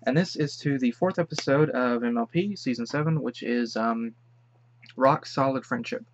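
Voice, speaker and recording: A man's voice: mid-range voice, normal speed, dull audio, a little hiss or hum. A young man with a head cold, hosting a casual, rambling reaction commentary. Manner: chatty, rambling, excitable. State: sick with a stuffy head cold. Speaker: reaction video host.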